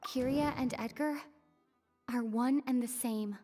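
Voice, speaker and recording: A woman's voice: on the high side, measured pace, mellow, soft background music, lightly acted. A woman playing a thoughtful young female traveler in a game, reasoning earnestly and reflectively. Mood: thoughtful, deducing. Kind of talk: game dialogue acting.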